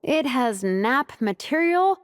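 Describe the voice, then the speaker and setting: Chill sassy girl, highish voice, real bouncy pitch, anime game dub. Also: sing-song, slow pace, no room sound, clean studio sound, full character voice. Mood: lazy, decided. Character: laid-back, sassy young woman who loves napping.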